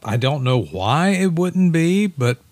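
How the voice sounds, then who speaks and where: Animated, agreeable man in casual radio chat. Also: a little high, takes it slowly, no echo. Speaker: co-host and quiz contestant.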